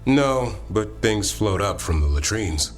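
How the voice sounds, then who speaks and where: An actor playing a wry, earnest warrior of an honorable fantasy order in a video game, with dry, measured delivery. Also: male voice, lowish voice, animated, faint music behind. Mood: wry, relaxed.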